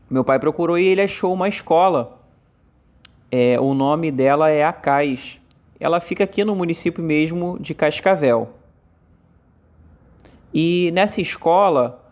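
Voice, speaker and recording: Brazilian guy voicing for a deaf speaker, bit high voice, choppy short phrases, lots of filler words. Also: darkish tone. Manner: halting phrase-by-phrase delivery with frequent fillers. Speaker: sign language voice interpreter. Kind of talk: voicing a deaf speaker's talk in first person.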